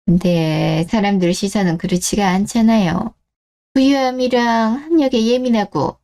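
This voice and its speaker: Mellow, condescending mother-in-law played by a woman. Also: medium pitch, normal speed, lightly acted. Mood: politely condescending. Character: condescending middle-aged mother-in-law, polite but status-conscious. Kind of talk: scripted story-radio drama.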